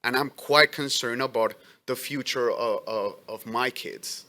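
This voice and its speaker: A man's voice: medium pitch, pushed and bright. Immigrant man with a non-native accent, earnest hearing witness, keen and tense in tone, stumbling over restarts. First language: not English. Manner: earnest, halting, many restarts. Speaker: letter carrier giving testimony. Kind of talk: testimony at a public hearing.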